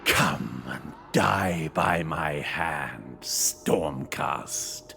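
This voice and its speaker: A man's voice: a bass voice, lively intonation, extremely slow, gravelly, pushed and bright, exaggerated voice. A man playing a proud, menacing undead knight in a video game, with a courtly, pompous, theatrical delivery. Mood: cold menace. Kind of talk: video game cutscene acting.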